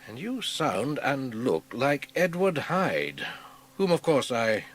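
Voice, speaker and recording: A disapproving Victorian physician in a radio play. He speaks formally and precisely, with clinical diction and a widely varying, animated pitch. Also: slow pace. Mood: cool, appalled. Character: educated, disapproving Victorian physician, horrified witness. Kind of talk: radio drama acting.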